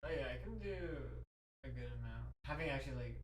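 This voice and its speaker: A young man joins a casual conversation among friends. His soft-spoken, slightly shy words come out quickly, with a lively, bouncing pitch. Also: breathy edge. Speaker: friend in the room. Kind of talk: casual chat.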